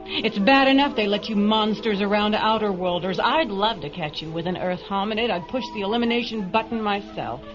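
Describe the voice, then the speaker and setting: A woman's voice: medium pitch, a little fast, lightly acted. Woman playing a sneering, haughty supervisor over music. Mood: menacing, contemptuous. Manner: sneering, mock-sweet. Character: haughty, bigoted woman supervisor taunting her underling. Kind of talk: radio drama acting. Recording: dull radio recording with music behind.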